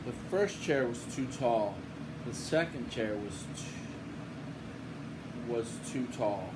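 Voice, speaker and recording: A man's voice: medium pitch, slow pace. An older man, a grandfather, reading a picture book aloud in a casual, playful way with folksy asides. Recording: home recording with steady room hum.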